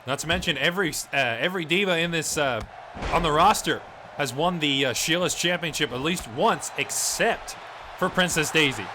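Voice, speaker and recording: A man's voice: fairly high pitch, moderate pace, background noise, lightly acted. A man playing a crude, swearing Australian wrestling commentator who rambles in a blokey way over a video game match. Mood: crude banter.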